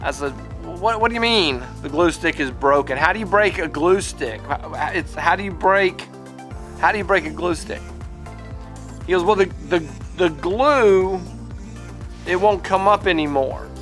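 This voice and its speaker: A middle-aged man with a bright, forceful voice, talking to camera in a casual vlog. His pitch sits a little high for a man, and he stumbles and repeats words. Faint music plays behind him. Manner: halting, repeats words when serious, upbeat when telling the story. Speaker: vlogger, teacher.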